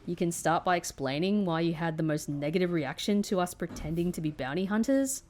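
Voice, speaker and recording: Woman doing a tough lady agent, audio drama, lowish voice, firm n dry, slight noise behind. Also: a little fast, a little mellow, natural voice. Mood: probing. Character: tough, no-nonsense female agent, loyal team leader. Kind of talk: audio drama dialogue and narration.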